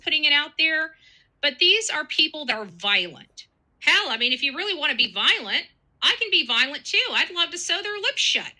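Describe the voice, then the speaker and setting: A woman's voice: high-pitched, sing-song, normal speed, sharp tone. A woman giving casual online commentary in an emotional, dramatic manner.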